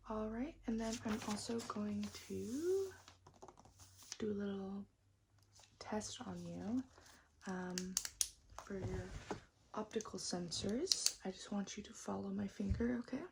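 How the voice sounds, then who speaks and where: Softly whispering ASMR woman. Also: medium pitch, takes it slowly, creaky, pauses often, heavy background noise. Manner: gentle, reassuring, repeats words in trailing whispers. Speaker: ASMR performer role-playing a robot technician. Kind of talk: soft-spoken and whispered ASMR roleplay.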